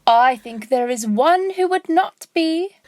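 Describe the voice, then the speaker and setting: Audio drama boy hero, played by a woman: proud, carefree, slow delivery, lightly high voice. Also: animated, no room sound, clean studio sound. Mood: cocky. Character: Peter Pan, proud, carefree boy who won't grow up.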